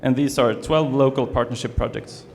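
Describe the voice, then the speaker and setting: A man's voice: mid-range voice, moderate pace, a little mellow, a little hiss or hum. A young man from Sweden giving a student presentation, hesitant with many fillers and restarts. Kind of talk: presentation to an audience. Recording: reverberant hall, faint ambience.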